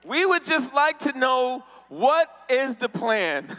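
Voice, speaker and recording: A man's voice: extremely high pitch, very animated, slow, fairly bright tone, slight background noise. A man doing stand-up at a convention, teasing the crowd and laughing often. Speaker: stand-up comedian. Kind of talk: stand-up comedy. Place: convention hall stage.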